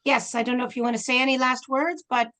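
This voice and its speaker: A woman's voice: sits high, steady tone, fast talker, a bit bright. A woman hosting an online reading over a video call, thanking the readers effusively with a few fillers.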